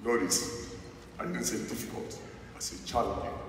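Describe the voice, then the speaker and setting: A man in his thirties with a foreign accent and a deep voice, giving a slow, emphatic inspirational speech on stage in a large arena. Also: big pitch swings, slight background noise. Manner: slow, emphatic, preacher-like delivery. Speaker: motivational speaker. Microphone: headset or stage mic heard over PA from the crowd. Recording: echoey arena PA recorded from far seats.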